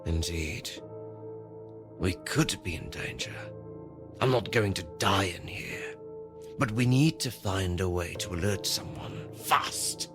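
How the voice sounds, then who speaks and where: A man's voice: deep voice, animated, slow pace, over music. A man playing a curt, secretive maintenance worker trapped in an elevator, speaking in a terse, guarded way in an audio drama. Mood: grim, urgent. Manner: terse, weighted, guarded delivery.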